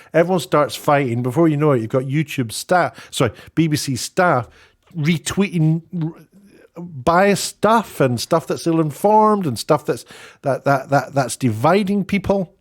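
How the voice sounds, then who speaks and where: Guy doing off the cuff opinion vlog, kinda rambly, keeps restarting his sentences, middling pitch. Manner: halting, rambling, self-correcting. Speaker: YouTube commentator and host. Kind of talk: unscripted opinion commentary.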